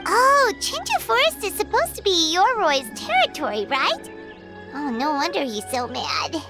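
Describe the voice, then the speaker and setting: A woman voicing a small, childlike, excitable companion creature in a video game, wide-eyed and chatty. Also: extremely high pitch, measured pace, over loud music, heavily acted. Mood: realizing. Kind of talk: animated video game voice acting.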